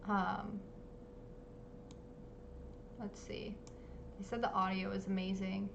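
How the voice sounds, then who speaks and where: A woman hosting a live-streamed artist interview, talking casually with lots of ums and quick apologies. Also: mid-range voice, flat delivery, vocal fry, muffled recording, noisy background. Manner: chatty, many ums, apologetic. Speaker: livestream interview host. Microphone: home streaming setup.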